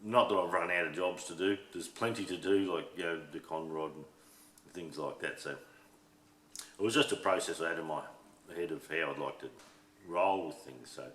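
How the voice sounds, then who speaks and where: A middle-aged Australian man talks in a deep, low register, his voice a little hoarse. He rambles through shed chat with lots of ums and false starts. The sound carries an echo from a roomy workshop. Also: normal speed, a bit bright. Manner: rambling, full of ums and restarts. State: a bit hoarse. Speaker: workshop channel host. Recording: echoey shed room.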